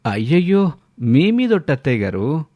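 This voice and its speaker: A man voicing a shameless idler son-in-law who flatters and wheedles with exaggerated respect in an audio story. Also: on the high side, normal speed, no room sound, dull audio. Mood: mock innocent.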